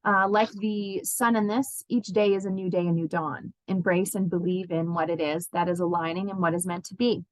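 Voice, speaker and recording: A woman giving a spiritual card reading as an intuitive healer, speaking in gentle, reassuring, halting phrases. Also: fairly low pitch, steady tone, dry recording, poor audio. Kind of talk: spiritual card reading addressed to named listeners.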